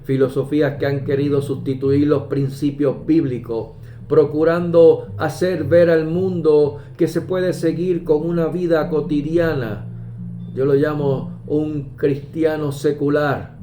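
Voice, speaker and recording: A man preaching a sermon, measured and emphatic, pausing often and quoting scripture. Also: fairly high pitch, steady tone. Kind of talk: slow deliberate sermon. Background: soft guitar music.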